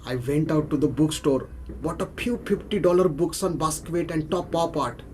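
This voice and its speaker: A man with an Indian accent playing a self-mocking amateur painter in a measured film monologue. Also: fairly high pitch, a little mellow, slight background noise, close to an everyday voice. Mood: rueful.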